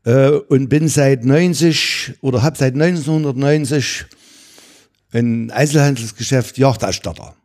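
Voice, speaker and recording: A man's voice: mid-range voice, unhurried, darkish tone. An older man, a hunting shop owner, answering interview questions in a matter-of-fact, self-assured way.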